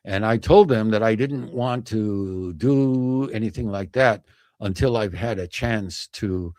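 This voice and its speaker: A man's voice: on the low side, a little slow, a little mellow, no echo, studio quality. An older man, over sixty, hosting a livestream, chatting casually and reflectively, with rambling recollections and self-corrections. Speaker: livestream host.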